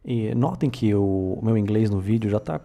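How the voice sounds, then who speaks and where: A Brazilian man in his thirties, telling his story in a relaxed, casual way with many filler tags and small self-corrections. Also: on the low side, normal speed, gravelly. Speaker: vlogger. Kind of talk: casual personal narration to camera.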